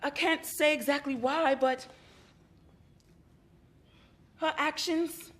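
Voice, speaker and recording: An actress playing a calm, patient therapist who asks measured questions. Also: female voice, high voice. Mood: gentle. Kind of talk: stage play acting.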